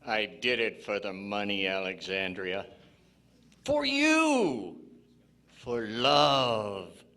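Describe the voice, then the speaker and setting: An actor playing a cocky, greedy murderer in a 1940s-style radio crime melodrama. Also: male voice, on the low side, very animated, very slow, sharp tone, full character voice. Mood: smug, defiant. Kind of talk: 1940s radio drama acting.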